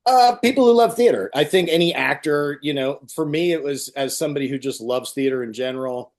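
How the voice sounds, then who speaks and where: A man's voice: fairly high pitch, brisk, a little mellow. A man chatting casually as an interview guest on a video call. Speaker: interview guest, stage actor. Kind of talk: casual chat.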